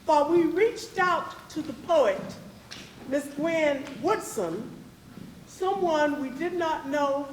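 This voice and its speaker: Woman stage presenter, high voice, extremely unhurried, measured phrasing, live echoey room. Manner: deliberate, speaking in short phrases. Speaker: presenter of a readers theatre performance. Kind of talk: measured stage introduction with dramatic pauses. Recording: echoey hall, clear background noise.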